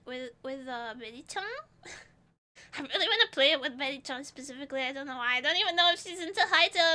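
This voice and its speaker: A woman's voice: very high-pitched, pushed and bright. A woman from Portugal, chatting casually with her live chat as a streamer, giggly and rambling.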